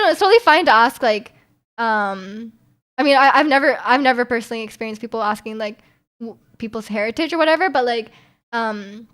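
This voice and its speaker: A woman from Canada streaming, chatting casually with viewers and reading their messages aloud, full of "like" fillers and quick laughs. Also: on the high side, fairly bright tone. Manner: laughs often while talking.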